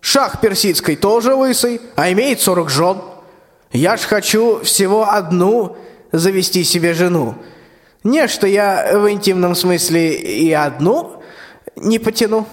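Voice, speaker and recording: Man acting aged tsar, vain, commanding, high voice, reverberant hall, rhymed radio drama. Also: animated, a little slow. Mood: bossy. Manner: commanding, folksy rhymed speech. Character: vain, bossy, balding very old tsar. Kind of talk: stage-like rhymed radio play.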